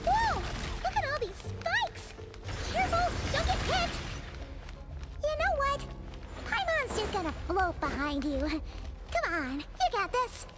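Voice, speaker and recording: A tiny floating fairy girl from a video game, extremely high and squeaky. The delivery is excitable and swoops around in pitch, childlike and a little cowardly. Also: measured pace, long pauses, music in the background, heavily acted. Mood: alarmed. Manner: excitable, speaks of self in third person.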